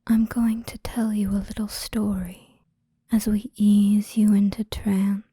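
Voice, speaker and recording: A woman guiding a hypnosis relaxation in a soothing, gentle, breathy whisper, unhurried and with frequent pauses, close to the microphone. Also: mid-range voice, level tone, no echo. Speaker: hypnosis guide. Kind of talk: whispered ASMR guided relaxation storytelling.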